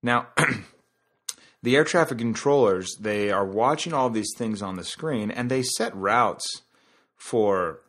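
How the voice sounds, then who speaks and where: Guy with a lowish voice doing a casual solo podcast, chatty, explains stuff with analogies and little asides. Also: slow pace, a bit bright. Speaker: podcast host. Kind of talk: casual conversational monologue.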